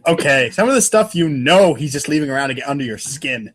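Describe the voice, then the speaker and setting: A man's voice: mid-range voice, animated, fairly quick, background noise. A man reading comic narration aloud in a casual, irreverent way, stumbling over lines now and then. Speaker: podcast co-host and reader.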